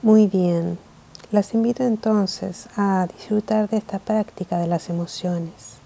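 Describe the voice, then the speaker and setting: A woman leading a guided meditation in a calm, gentle, measured way of speaking. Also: mid-range voice.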